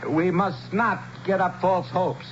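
An actor playing an earnest, cautious town leader who addresses anxious townsfolk haltingly in a radio drama. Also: male voice, sits high, fairly even intonation, slight background noise. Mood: urgent, cautious. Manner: halting, repetitive public address. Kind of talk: 1970s radio drama acting. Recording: dull old radio broadcast.

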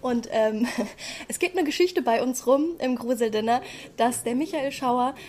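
A young woman, a stage actress, chatting casually in an interview with a giggly, animated way of telling things. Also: a little high, measured pace.

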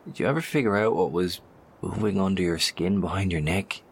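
An actor playing a cautious, worried male professor in a horror audio drama, speaking in a measured, tentative and earnest way. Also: deep voice, slightly rough. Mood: worried, probing.

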